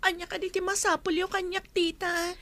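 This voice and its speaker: A woman performs a young niece held against her will. Her voice is extremely high and youthful, with a plaintive, earnest edge. She is stubborn and firm in a melodramatic audio drama style. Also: flat delivery, brisk, fairly bright tone. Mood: wary.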